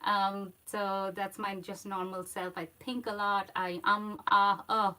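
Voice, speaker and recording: A woman hosting a casual art livestream, speaking English as a second language, with a soft voice and a slow, meandering delivery full of fillers and run-on sentences. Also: medium pitch, flat delivery, clear, resonant tone. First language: not English. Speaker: art channel host.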